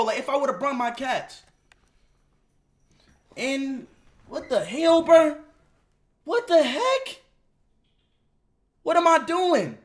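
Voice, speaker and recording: A young man livestreaming from his bedroom on a headset mic, loud and excitable, often yelling at his chat. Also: extremely high pitch. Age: young adult.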